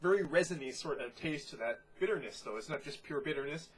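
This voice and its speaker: A congested man giving a casual, descriptive beer tasting review, unhurried and full of "you know" fillers. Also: on the high side, expressive, breathy edge, poor audio.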